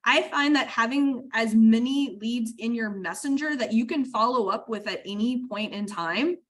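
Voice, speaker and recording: A woman business coach hosting an interview, talking enthusiastically in fast bursts with lots of fillers. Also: on the high side. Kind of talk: interview chat.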